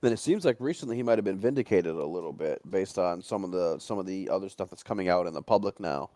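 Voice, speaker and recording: A low-pitched man talks casually as a livestream co-host, wandering from point to point with plenty of swearing. His voice is recorded dry and close, with very little room sound. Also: expressive, fast talker, mellow, no echo. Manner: rambling, frequently swearing. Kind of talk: casual conspiracy chat.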